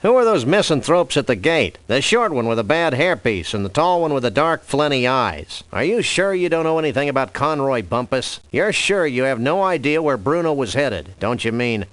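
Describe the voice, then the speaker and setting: A man voicing a laid-back cartoon dog detective in a video game, delivering scripted lines in a deadpan, dryly wordy manner. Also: mid-range voice, normal speed, few pauses, no room sound. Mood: probing, dry. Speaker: freelance police detective.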